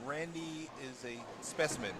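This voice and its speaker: A man co-commentating live at a bowling tournament, lively, agreeable and quick to laugh along. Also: a little high, very noisy.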